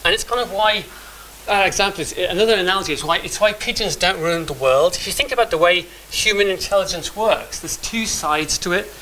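Bright-voiced man giving a tech talk. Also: high-pitched, a little fast, slight background noise, low-quality recording. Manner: conversational, many restarts and fillers. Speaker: conference speaker. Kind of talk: live tech talk with demo. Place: meeting room.

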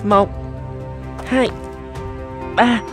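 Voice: medium pitch, fairly even intonation, drawn-out words, over music. An actor voicing a determined, grateful little boy in a cartoon, speaking with effort. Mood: determined, straining. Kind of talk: Vietnamese cartoon dubbing.

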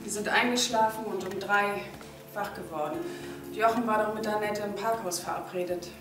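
A woman's voice: medium pitch, moderate pace, music in the background, lightly acted. A woman playing a careful, hesitant office employee giving testimony in a crime drama. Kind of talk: German TV crime drama acting.

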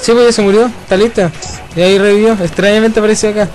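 A man's voice: high voice, fairly even intonation, rapid-fire, darkish tone. A young man with a Chilean accent chatting casually during co-op gaming, swearing and giving directions in slang. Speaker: gamer recording a group playthrough. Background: video game music and effects.

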